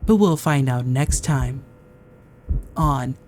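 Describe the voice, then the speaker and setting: A woman doing a playful let's-play of a visual novel, reading and commenting in a theatrical, expressive way. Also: a bass voice, takes it slowly, slight background noise.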